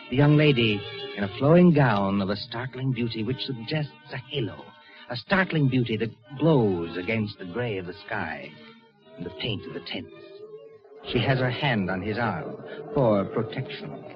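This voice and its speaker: A man playing a suave, ominous mystery narrator in measured, formal 1940s radio suspense style. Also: lowish voice, animated, lightly acted. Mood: descriptive, measured. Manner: measured, formal, dramatic delivery. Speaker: narrator and program host. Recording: muffled vintage radio recording, music behind.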